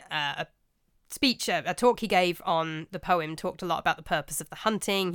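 A woman's voice: medium pitch, fairly bright tone, clean tone, no room sound, studio quality. A woman with an English accent hosting a storytelling podcast, giving informal commentary on literature in a chatty, slightly hesitant way with wry asides. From England.

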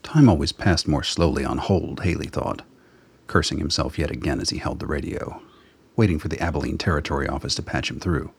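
Extremely low-pitched man, husky, scripted storytelling, radio play narrator. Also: fairly quick, dry recording. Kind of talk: scripted audio drama narration.

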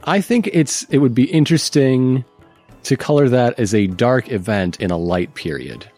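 A man's voice: medium pitch, lively intonation, normal speed, darkish tone. A man in a tabletop game chat, musing his way hesitantly through an answer. His voice moves up and down a lot, with faint music behind. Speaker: player.

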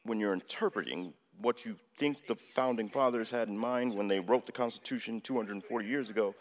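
An actor in a radio play voicing an earnest, middle-aged American president who speaks deliberately, with hesitations and a lecturing manner. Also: male voice, fairly low pitch, natural voice. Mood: measured, insistent. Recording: long hall reverb.

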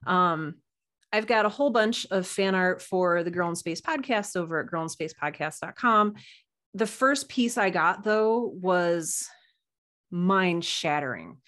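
A woman guest on a podcast, telling personal anecdotes in a casual, animated and halting way over a video call. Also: fairly low pitch.

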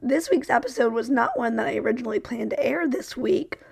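A woman podcast host who is losing her voice, speaking raspy and hoarse in a casual introduction, laughing lightly at it. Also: fairly high pitch, a little fast, a little mellow.

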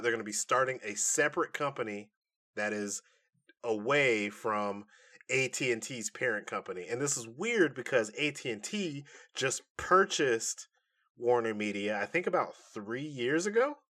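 A man hosting a podcast, explaining things in a casual, animated and informal way. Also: medium pitch, moderate pace. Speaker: podcast host. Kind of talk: casual nerd-news podcast chat.

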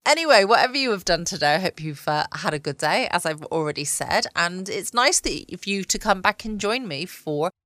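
A woman's voice: on the low side, expressive, a little fast, few pauses, fairly bright tone, dry recording, clean studio sound. A British woman chatting casually as the host of a late night bedtime podcast. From United Kingdom.

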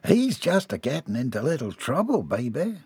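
A man acts out a rowdy hillbilly husband from a storybook. He has a folksy drawl with a husky edge. He sweet-talks and teases, unbothered, in a lively swing of pitch. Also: measured pace, no echo, heavily acted. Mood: soothing, grinning. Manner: folksy drawl, ungrammatical, teasing.

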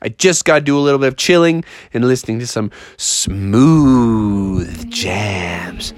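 A man voicing an easygoing male frog in a story, with a slangy, mellow slacker drawl. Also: mid-range voice, expressive, measured pace, dry recording, clean studio sound, pitched below the performer's own voice, close to an everyday voice. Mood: laid-back. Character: Froggy, laid-back easygoing male frog wanting to chill.

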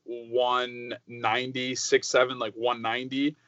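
A man's voice: mid-range voice, a little slow, dry recording, muffled recording. A young American man raised speaking Croatian, telling stories in a rambling casual way full of "like" fillers. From United States. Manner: rambling, many "like" fillers, animated storytelling. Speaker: guest. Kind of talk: casual interview chat.

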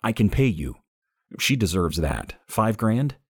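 A man's voice: lowish voice, sing-song, unhurried, husky, no echo, studio quality, voice pushed up, heavily acted. A man playing an uneasy, guilt-ridden character in an audiobook, hesitant, pleading and defensive.

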